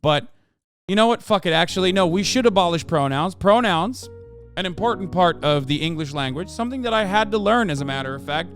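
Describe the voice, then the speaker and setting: A man's voice: sits high, animated, fairly quick, soft background music. A man whose first language is Turkish, hosting a casual live-stream news commentary with profane, sarcastic rants. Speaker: streamer host.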